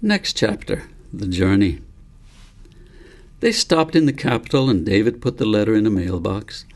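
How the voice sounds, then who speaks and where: Creaky-voiced man narrating a story. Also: on the low side, lively intonation, moderate pace, darkish tone. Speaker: author reading his novel.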